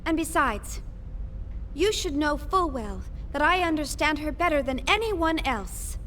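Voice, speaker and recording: A woman's voice: sits high, expressive, measured pace, fairly bright tone, slight background noise. An actress playing a headstrong young woman scientist in a video game, assertive and articulate. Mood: indignant, insistent. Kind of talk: English-dubbed anime-style game acting.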